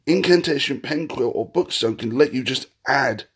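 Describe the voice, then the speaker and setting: A young man talks through a card game deck in a husky, croaky voice, worn rough by a sore throat. He rambles a little, trips over names and keeps restarting his sentences. His pitch sits at a middling level for a man. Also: animated, moderate pace. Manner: rambling, hesitant, many ums and restarts. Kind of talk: casual deck profile talk.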